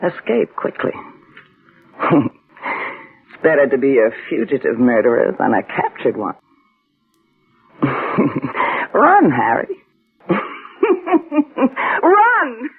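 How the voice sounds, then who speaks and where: An actress in a 1960s radio suspense play, playing a sly, mocking illusionist who coldly schemes murder. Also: female voice, rumbling low, wildly swooping pitch, slow, pauses often, breathy edge, full character voice. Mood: mocking, gleeful. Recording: tinny old radio drama, music behind.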